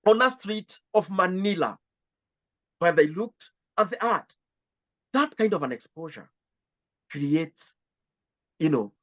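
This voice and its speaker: A man's voice: on the high side, fairly bright tone. A man, the head of an education organisation, answering interview questions in an earnest way, stacking ideas into lists. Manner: earnest, repetitive, builds lists. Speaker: CEO of a VR education organisation, guest. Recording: slightly dull lo-fi sound.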